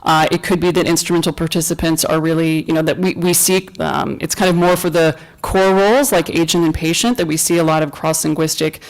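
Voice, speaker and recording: Woman presenter in a lecture room, lots of ums, thinking out loud. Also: deep voice, steady tone, brisk, few pauses, rough recording. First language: English. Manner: hesitant, thoughtful, many fillers. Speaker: conference presenter. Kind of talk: academic talk and Q&A.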